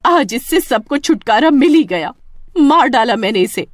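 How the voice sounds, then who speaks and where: A woman's voice: on the high side, animated, moderate pace, no echo, clean studio sound, voice pushed up. A woman voicing a spirited, distressed young village daughter in a cartoon horror story, earnest and emphatic. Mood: resolute.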